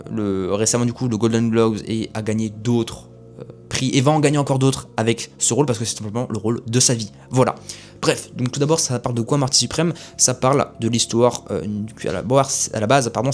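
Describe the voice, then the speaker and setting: Young male reviewer, quick chatty delivery, rambling with filler words, light music bed. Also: medium pitch. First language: French. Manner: rambling, lots of fillers and restarts. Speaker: film reviewer. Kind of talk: casual film review.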